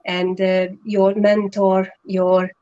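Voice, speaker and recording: Woman, earnest and halting. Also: mid-range voice, monotone, dark tone, smooth, muffled recording. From Hungary. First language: Hungarian. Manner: earnest, many fillers and restarts. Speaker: guest, online business partner. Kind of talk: casual live-stream chat.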